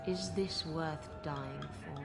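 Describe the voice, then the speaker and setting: Casting: cocky, dangerous game rogue woman, sultry taunts at a very slow pace, loud music behind. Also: low voice, gravelly, exaggerated voice. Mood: taunting. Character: mocking, dangerous, confident female rogue. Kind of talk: video game character acting.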